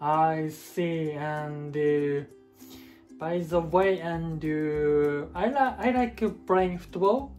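A young Japanese man in his twenties, speaking slow, hesitant learner English with a Japanese accent and repeating words as he searches for them. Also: a little high, darkish tone, faint music behind. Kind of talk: halting learner English, then casual video outro. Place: small indoor room at a table.

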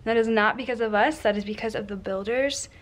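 A woman's voice: fairly high pitch, brisk. A young woman in a casual vlog voice-over, chatty and upbeat, talking viewers through her cleaning routine step by step. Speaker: home vlogger.

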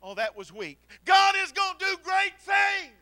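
High, bright-voiced preaching man. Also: big pitch swings, a little slow, no room sound, clean studio sound. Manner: swings from shouted exhortation to quiet storytelling; preaches while pacing the platform. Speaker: pastor. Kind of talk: sermon. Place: church sanctuary. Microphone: handheld mic.

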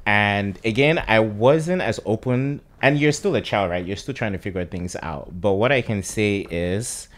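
A man from Cameroon, a podcast guest, talking in a relaxed, reflective way into a studio boom mic. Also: normal speed, few pauses. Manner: relaxed, reflective, laughs easily. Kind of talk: casual discussion. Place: studio lounge with leather armchair. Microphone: studio mic on boom arm.

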